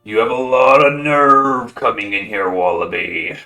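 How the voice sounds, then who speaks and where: A man's voice: medium pitch, steady tone, a little slow, creaky, pushed and bright. A man voicing a huge, menacing lobster-like creature who speaks curtly and coldly. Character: giant menacing lobster-like crustacean leader. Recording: roomy echo, faint music.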